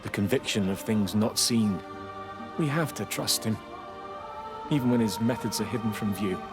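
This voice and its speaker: An actor playing a gentle, earnest village priest in a video game drama, measured and consoling. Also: male voice, fairly low pitch, natural voice. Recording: music under the voice.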